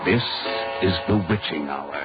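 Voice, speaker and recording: An actor playing an ominous, menacing horror-show narrator in a 1940s radio introduction. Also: male voice, low-pitched, a little slow, faint music behind. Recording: muffled vintage 1940s radio recording.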